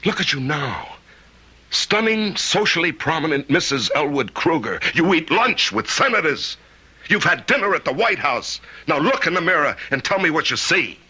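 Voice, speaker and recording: A man's voice: fairly high pitch, very animated, pushed and bright, husky, heavily acted. An actor playing a grief-stricken, driven rich man in an old-time radio drama, insistent and refusing to accept death. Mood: impassioned, accusing. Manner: insistent, halting then vehement.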